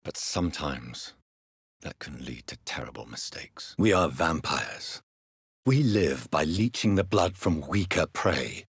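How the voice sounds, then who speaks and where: A man playing a grave, courteous vampire physician in a video game, with a British accent and a formal, measured, theatrical delivery. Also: extremely low pitch, expressive, husky, dry recording, studio quality, heavily acted. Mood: brooding, ominous. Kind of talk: dramatic video game voice acting.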